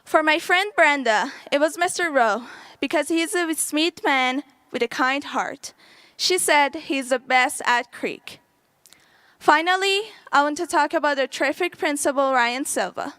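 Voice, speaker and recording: A woman's voice: high voice, a little slow, fairly bright tone, no room sound. A young woman whose first language is Farsi, giving an earnest prepared speech as a graduating student.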